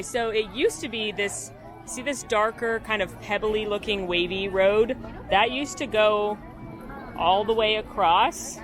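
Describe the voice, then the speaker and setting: A woman hosting an upbeat theme-park vlog outdoors, talking in a bubbly, chatty, playful way. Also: medium pitch. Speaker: vlog host.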